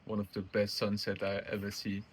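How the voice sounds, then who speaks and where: Young Swiss man, hesitant, lowish voice. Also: completely flat delivery, fairly quick, husky. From Switzerland, French-speaking part. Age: 20s. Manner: hesitant, laughs often, slightly broken English. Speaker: interviewee hiker.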